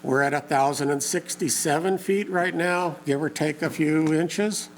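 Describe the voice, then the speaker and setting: A hoarse man who is losing his voice speaks at a community meeting, pausing often with fillers in plain, folksy wording. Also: fairly high pitch, steady tone, slight background noise. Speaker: community meeting organizer and lake info page host. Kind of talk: informal public talk to a local meeting.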